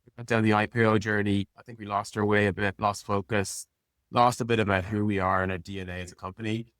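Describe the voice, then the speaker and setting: A man's voice: lowish voice, level tone, fast talker, fairly bright tone, dry recording, clean studio sound. A man speaking as an interview guest in a casual, plain-spoken way, like an executive talking informally about work. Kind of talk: casual conversational interview answers.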